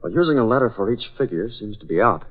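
A muffled vintage radio recording carries a decisive American boss who secretly fights crime. His voice sits slightly low. He talks crisply and deliberately, with the melodrama of a 1940s serial. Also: lively intonation. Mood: puzzling, thoughtful. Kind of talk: 1940s radio drama acting. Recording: dull, band-limited old radio recording.

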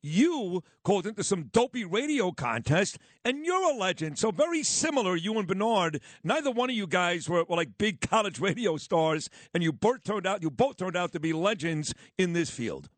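A man's voice: sits high, normal speed, few pauses, dry recording. A man hosting a radio talk show, chatting and telling stories about colleagues. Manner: chatty, lively storytelling. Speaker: radio host.

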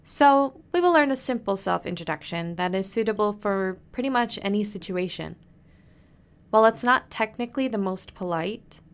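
A woman hosting a podcast lesson on Japanese business culture. She speaks in a clear, friendly teaching manner and says the Japanese phrases slowly and carefully. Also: mid-range voice.

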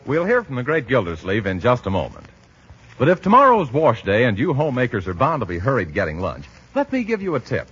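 A man's voice: mid-range voice, huge pitch swings, fairly quick, music in the background. A man announcing in 1940s radio style, with polished, emphatic salesmanship. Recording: muffled 1940s radio recording, husky sound.

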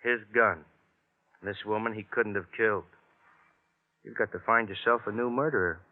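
An actor playing a brisk, plain-spoken, matter-of-fact policeman in a 1950s radio crime drama. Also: on the low side, steady tone, slight background noise, natural voice. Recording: tinny phone-like old radio recording.

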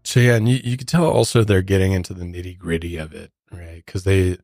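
A man's voice: low-pitched, fairly even intonation, brisk, darkish tone, no echo. A man hosting a true crime podcast, casually explaining court clips and the case to his co-host.

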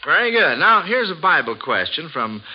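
A man's voice: a little high, very animated, normal speed, slightly rough. A man hosting a 1940s radio quiz for children, genial and hesitant with frequent uhs. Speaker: quiz show host. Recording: dull lo-fi old radio recording.

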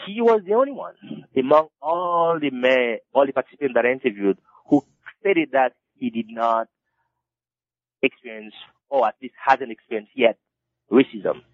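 A man, an author being interviewed, who answers haltingly and restarts his phrases again and again. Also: mid-range voice, expressive, no echo. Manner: halting, with many repeated restarts. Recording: dull lo-fi dry recording.

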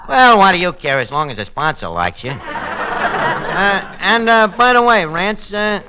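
Brash New York tavern schemer, wildly swooping delivery. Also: male voice, high voice, big pitch swings, a little slow. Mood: wheedling. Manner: malapropisms, slangy wisecracks. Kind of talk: 1940s radio comedy acting. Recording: noisy, muffled old radio recording.

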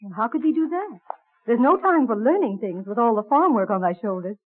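A thin, tinny vintage radio recording of a woman acting a young colonial farm wife. She is high-voiced, gentle and practical, with old-fashioned plain speech. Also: animated, a little fast, slight background noise, lightly acted. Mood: practical, doubtful.

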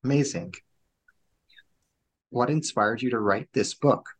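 A man hosting an interview podcast, formal and measured in tone. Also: medium pitch, no room sound. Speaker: podcast host.

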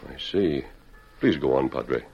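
A man's voice: a bass voice, expressive, a little slow, a little mellow, faint music behind, full character voice. An actor playing a bold, courteous masked lawman in an old radio western, speaking with commanding earnestness. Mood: calm, earnest. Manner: commanding, earnest, clearly projected. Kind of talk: 1940s-50s radio western acting. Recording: muffled, noisy old radio broadcast.

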